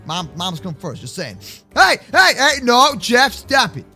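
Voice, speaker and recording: A young man hosting a gaming video with excitable, slangy, teasing roleplay commentary. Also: sits high, unhurried. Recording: music behind the voice. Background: background music.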